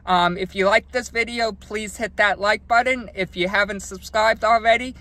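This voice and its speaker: A man's voice: high voice, fairly even intonation, normal speed, sharp tone. A man hosting a car wash channel, explaining things casually with lots of ums and restarts. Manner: hesitant, many ums and restarts.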